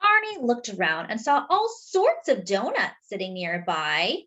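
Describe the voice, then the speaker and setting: A woman reading a picture book aloud expressively to young children, pausing to explain things as she goes. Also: medium pitch, big pitch swings, fairly bright tone. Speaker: children's storyteller. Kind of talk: animated picture-book read-aloud with asides.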